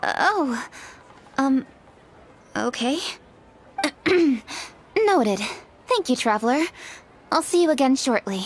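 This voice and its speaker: Proud young noblewoman, high voice, lively intonation, self-conscious, composed, anime game, music behind. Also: slow, long pauses, a little hiss or hum. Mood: awkward. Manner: composed, slightly defensive. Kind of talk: anime-style video game voice acting. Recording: music under the voice.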